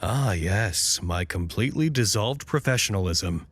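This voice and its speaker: A man's voice: fairly low pitch, animated, measured pace, slightly rough. Male actor, wry research doctor, swooping intonation, sarcastic, articulate, audio drama. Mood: wry, weary. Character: wry, sardonic male doctor stranded at a cut-off research site. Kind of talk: audio drama acting, conversational.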